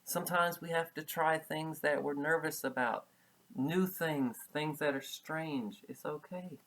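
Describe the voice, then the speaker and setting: Slow, patient male preschool storybook reader. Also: fairly high pitch, fairly bright tone, a little hiss or hum, rough recording. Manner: patient, explanatory, repeats key words. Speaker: preschool teacher. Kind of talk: children's storybook read-aloud with simple explanations.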